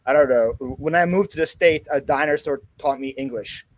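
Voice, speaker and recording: A man's voice: on the high side, dry recording. A man in his thirties from Ukraine with a Ukrainian accent tinged with Philadelphia, hosting a casual chat and joking and laughing at his own lines. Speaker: host.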